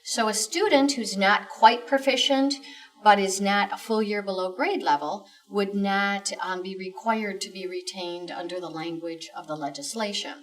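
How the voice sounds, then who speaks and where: Measured woman, slightly off-mic. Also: medium pitch, steady tone, a bit bright, distant mic, low-quality recording. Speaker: guest education expert. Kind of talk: explanatory interview answers.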